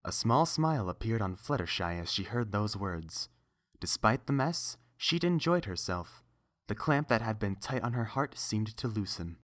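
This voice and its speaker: A woman's voice: extremely low pitch, expressive, normal speed. A woman reading a scripted story aloud as the narrator.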